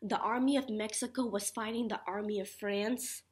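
A young woman teacher reading a children's picture book aloud in an animated, instructive way. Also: medium pitch, moderate pace. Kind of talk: children's picture-book read-aloud with sing-song refrains. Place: small indoor classroom.

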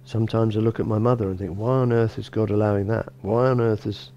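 A middle-aged man, a bishop, gives a relaxed sermon in a small church, talking conversationally with frequent restarts and "you know". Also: fairly low pitch, fast talker, lo-fi sound, slight background noise. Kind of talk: informal sermon.

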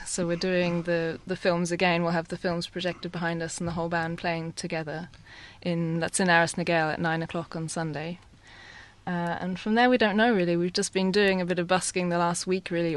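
A woman from England talking casually into a studio mic. Her voice sits low and stays fairly flat, unhurried as she explains things. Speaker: musician guest. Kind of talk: casual interview answers. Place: small radio studio. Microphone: studio boom mic.

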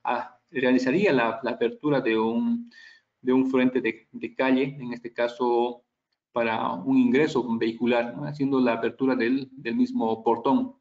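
A man's voice: mid-range voice, flat delivery, normal speed. Man presenting, dull lo-fi audio. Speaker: product trainer giving a webinar. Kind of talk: technical slide presentation with audience questions.

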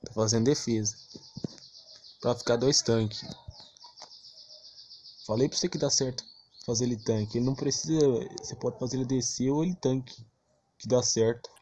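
A man's voice: mid-range voice, moderate pace, pauses often, a little mellow, a little hiss or hum. A man with a Brazilian accent giving casual, slangy, blunt gaming commentary with mild cursing. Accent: Brazilian Portuguese. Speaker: gameplay streamer.

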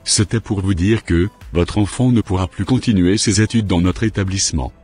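A man voicing a formal, unbending school director in a cartoon, speaking in measured, broken-up phrases. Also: low-pitched, darkish tone, breathy edge, faint music behind. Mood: formal, firm. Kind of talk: scripted cartoon dialogue.

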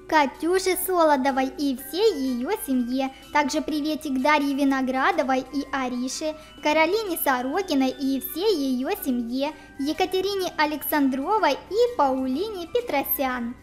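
High-pitched childlike voice over music. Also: moderate pace, hardly any pauses. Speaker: host of a children's gaming channel. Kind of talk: children's let's play commentary with shout-outs. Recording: music bed under the voice. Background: background music.

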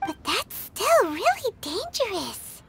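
A woman voicing a tiny, curious, naive fairy companion in a video game, squeaky and childlike. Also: sing-song, slow pace, vocal fry, noisy background, heavily acted. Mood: puzzled, curious. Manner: third-person self-reference, childlike earnest questioning.

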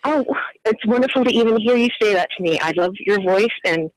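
An excited woman calling in to a radio show and gushing with enthusiasm. Her voice comes through close and dry. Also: mid-range voice, a little fast, no echo, rough recording. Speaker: caller. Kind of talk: phone-in chat.

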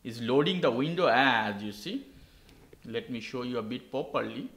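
A man's voice: mid-range voice, lively intonation, normal speed, fairly bright tone, roomy sound, slight background noise. A man hosting a tech tutorial, walking through a laptop reset step by step in simple, non-native English. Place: indoor desk.

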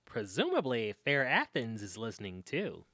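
An actor playing a young podcaster in a comedic audio drama, a teasing, pushy best friend who banters insistently and with emphasis. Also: male voice, medium pitch, huge pitch swings, normal speed, sharp tone, no room sound, studio quality, full character voice. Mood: coaxing.

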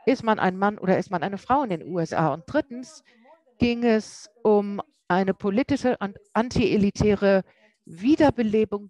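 Woman, simultaneous interpreter, halting, self-correcting, mid pitch, dry close sound. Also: a little mellow, dry recording, rough recording. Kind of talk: live interpretation of a lecture.

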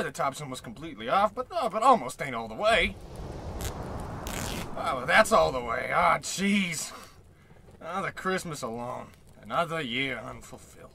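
A man playing a wistful, excitable old tramp in a radio comedy, folksy, theatrical and rambling. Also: medium pitch, slight background noise. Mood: touchy, rambling, then dejected. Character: down-on-his-luck old tramp, failed vaudevillian, wistful and excitable. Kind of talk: old-time radio comedy play acting.

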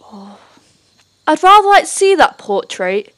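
A woman acts out a nosy, well-mannered party guest in a period radio mystery. The voice is fairly high and unhurried, with polite, probing phrasing. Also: big pitch swings, a bit bright, heavily acted. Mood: prying. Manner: polite, clipped, inquisitive, upper-class phrasing. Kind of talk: 1930s-set radio play acting.

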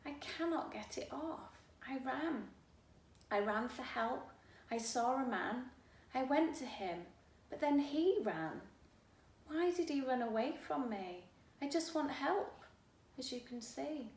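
A woman's voice: high-pitched, animated, takes it slowly, darkish tone, heavily acted. A woman voicing a small, tame sheep asking for help in a children's storybook, in a plaintive manner. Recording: roomy, distant mic, faint hiss.

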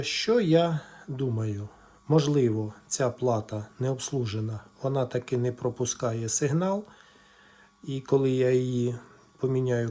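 A man casually walking viewers through a hands-on electronics repair, explaining haltingly as he thinks aloud. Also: medium pitch, a little mellow. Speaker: electronics repair video presenter.

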